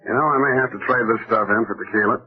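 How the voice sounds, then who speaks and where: Actor as a wry hard-boiled American private eye, muffled old radio. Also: male voice, on the low side, very fast, loud music behind the voice, natural voice. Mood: breezy, cocky. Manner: wry, glib, wordy. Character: wisecracking hard-boiled male private detective, cocky and flirtatious. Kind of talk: old-time radio detective acting, dictation and narration. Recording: dull lo-fi old radio recording.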